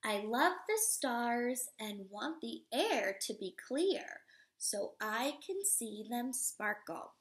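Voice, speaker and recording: A woman in her thirties, a teacher reading a picture book aloud to young children in an expressive, instructive way. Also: a little high, slow pace. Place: living room at home, indoors.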